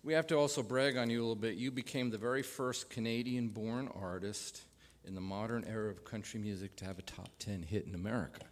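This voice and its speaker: A man's voice: fairly low pitch, quick pace, big-room sound, a little hiss or hum. A man hosting a radio show, asking rambling questions with fillers. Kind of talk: radio interview.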